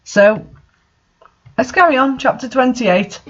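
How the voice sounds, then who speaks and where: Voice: high voice, slow. Dull-sounding amateur reader, sarcastic. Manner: incredulous, sarcastic, swearing. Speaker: reader and commentator. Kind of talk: dramatic reading of fan fiction with casual mocking commentary. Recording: dull lo-fi home recording.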